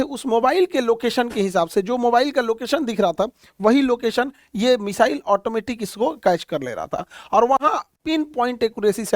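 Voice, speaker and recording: A man's voice: very high voice, no room sound, studio quality. A man teaching a current-affairs lesson in a plain explaining manner, pointing things out on a map and using many fillers. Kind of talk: explainer lecture.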